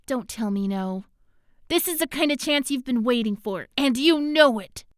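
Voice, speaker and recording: A woman's voice: high voice, lively intonation, moderate pace, dry recording, studio quality. A woman voicing a seductive, scheming female succubus in a fan audio drama, talking in a coaxing way. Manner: coaxing, sultry.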